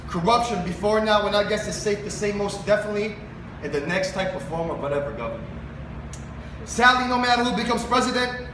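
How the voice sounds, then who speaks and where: High-voiced Filipino man, roomy live recording. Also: lively intonation, brisk. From Philippines. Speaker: spoken word poet on stage. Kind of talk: live spoken word poetry with casual stage banter. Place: live poetry event venue. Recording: roomy, distant mic, noisy live venue.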